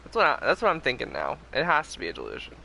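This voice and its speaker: A young man giving casual, chatty commentary as a game streamer, quick to giggle. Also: medium pitch, huge pitch swings, vocal fry, pushed and bright, slight background noise, low-quality recording.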